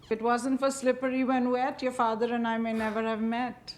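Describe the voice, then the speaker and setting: A woman's voice: on the high side, little pitch movement, fairly quick, echoey room, a little hiss or hum, lightly acted. An actress playing a doting, fussy middle-aged mother in a series, teasing and fussing over her daughter.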